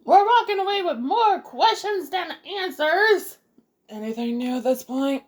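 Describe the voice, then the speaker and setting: A woman's voice: extremely high pitch, animated, unhurried. A woman giving casual, off-the-cuff gameplay commentary as the host of a let's play.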